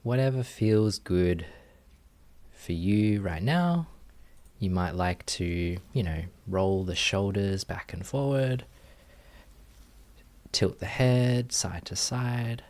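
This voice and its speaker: Man, low-pitched voice, very slow delivery, gentle meditation teacher, long pauses, guiding instructions.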